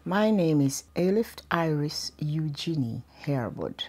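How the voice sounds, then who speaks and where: Older woman from Guyana, oral history interviewee; low voice, unhurried, reflective. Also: sing-song, darkish tone, husky. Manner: slow, thoughtful, with frequent pauses and ums. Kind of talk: reminiscing interview.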